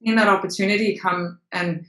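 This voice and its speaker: Young aussie actress talking straight, swears a bit, lots of ums, echoey room. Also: female voice, mid-range voice, steady tone, a little fast, a bit bright. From Australia. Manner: frank, swears casually, trails off with fillers. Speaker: actress and model, interview guest. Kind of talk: candid interview conversation. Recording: roomy echo.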